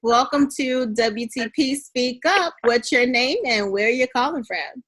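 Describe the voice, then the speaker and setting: A woman co-hosting a podcast in casual chat, lively and quick to take charge. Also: a little high, faint music behind. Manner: lively, laughing, takes charge. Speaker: podcast co-host.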